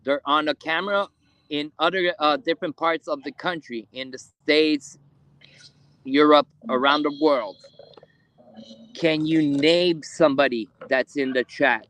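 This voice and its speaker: A man hosting a live ghost hunt in a cemetery at night, speaking haltingly with many fillers as he calls out to spirits. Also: a little high, steady tone, a bit bright, dry recording, poor audio. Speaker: ghost hunter streaming live. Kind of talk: live paranormal investigation stream.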